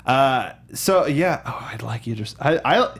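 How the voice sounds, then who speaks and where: A man co-hosting a casual discussion show, talking in a halting way and often repeating himself. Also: mid-range voice, expressive, a bit bright. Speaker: co-host of a movie discussion show. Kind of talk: casual chat.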